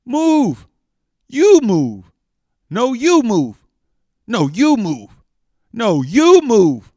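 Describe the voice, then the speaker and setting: A man's voice: very high voice, huge pitch swings, extremely slow, warm tone, dry recording, clean studio sound, pitched above the performer's own voice, heavily acted. A man voicing bickering young cookie roommates in a children's storybook, pushy and insistent. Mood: squabbling, bossy.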